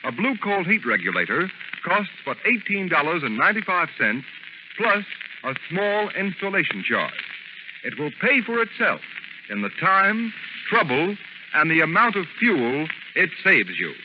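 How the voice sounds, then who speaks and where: A man's voice: high-pitched, very animated, a little slow. A man announcing in an old-time radio advertising style, polished and emphatic. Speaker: radio announcer and sponsor pitchman. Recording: muffled, very noisy vintage radio recording.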